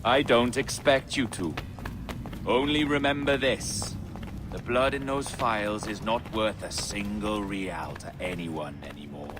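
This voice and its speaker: An actor playing an eerie, self-possessed pirate sage in a video game, speaking in an eloquent, cryptic, theatrical way. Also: male voice, lowish voice, unhurried, lightly acted. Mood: lofty, amused. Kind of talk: dramatic video game acting. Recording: noisy outdoor ambience behind the voice.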